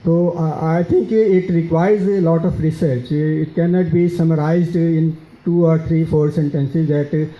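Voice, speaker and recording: A man with a Pakistani accent answers audience questions at a conference, speaking haltingly with many fillers and repeated words. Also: a little high, little pitch movement. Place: conference hall. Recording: dull, echoey conference hall.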